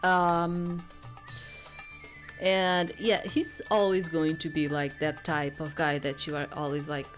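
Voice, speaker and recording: A woman doing casual game commentary and reading dialogue aloud, halting and amused in her asides. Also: deep voice, little pitch movement, faint music behind. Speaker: game player.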